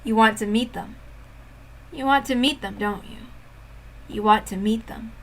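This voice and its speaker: A woman voicing a sinister, mocking tempter who speaks in a soft, creeping, taunting way. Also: fairly high pitch, measured pace, slight background noise, low-quality recording. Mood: menacing.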